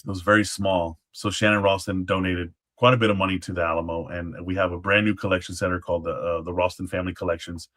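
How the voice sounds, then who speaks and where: A man speaking as an interview guest, explaining history casually in halting phrases with trailing asides. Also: sits low, flat delivery, fairly bright tone. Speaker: guest, former Alamo guard.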